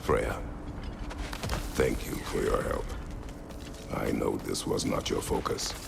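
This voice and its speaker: Very deep gravelly warrior god. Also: male voice, takes it slowly, noisy background, heavily acted. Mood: grave, restrained. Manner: terse, grave, formal. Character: stern, weary, burdened warrior god, middle-aged man. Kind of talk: video game character acting. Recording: loud game music behind.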